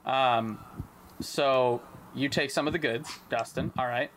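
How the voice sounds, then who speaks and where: A man runs a tabletop game with relaxed, chatty narration, describing scenes and asking the players what they do. Faint background noise sits under his voice. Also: mid-range voice, slow. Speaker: game master.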